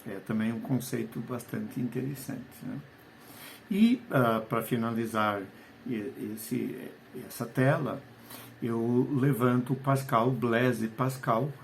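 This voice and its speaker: An older man from Portugal, his accent softened by decades in Brazil, gives a conversational video lesson as a writer and educator, often tagging questions and slowing down to stress key phrases. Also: mid-range voice, creaky, a little hiss or hum. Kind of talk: video lesson on short fiction.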